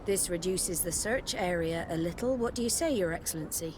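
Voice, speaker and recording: A woman's voice: lowish voice, expressive, a little fast, natural voice. A woman playing an authoritative naval commander in a video game, formal, commanding and courteous. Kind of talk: video game cutscene dialogue. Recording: clear noise, outdoor ambience behind.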